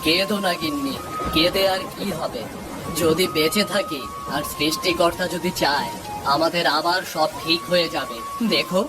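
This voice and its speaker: An earnest village father from a cartoon folk tale, acted out by a performer, anxious yet reassuring. He speaks urgently and dramatically at an ordinary pitch, with clear music playing behind him. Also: moderate pace, natural voice. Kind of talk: dramatic Bengali cartoon story acting.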